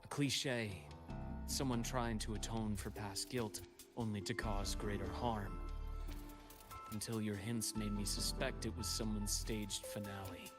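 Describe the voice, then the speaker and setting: An actor playing a composed, bitter man who survived research, speaking in measured, understated video game dialogue. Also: fairly low pitch, steady tone, lightly acted. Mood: grave, measured. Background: game music.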